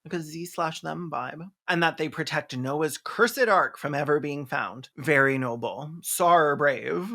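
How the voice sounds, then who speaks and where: Sardonic film-recap commentator, mid-pitched. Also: unhurried, gravelly, few pauses, pushed and bright. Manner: sardonic, theatrical, playful mock quoting. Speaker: video essayist and film reviewer. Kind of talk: sarcastic comedic recap commentary.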